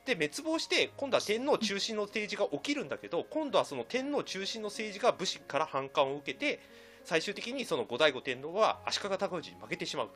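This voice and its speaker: A man explaining history casually as a panelist on a discussion show, lively and colloquial, voicing quotes as he goes. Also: high voice, a little fast, soft background music. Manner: animated explainer, acting out quotes in colloquial speech.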